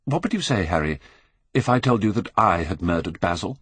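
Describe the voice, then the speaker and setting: A man voicing a weary, brooding younger Victorian gentleman in an audiobook, restrained and probing, with a British accent. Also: low-pitched, expressive, brisk, breathy edge, no room sound. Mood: testing.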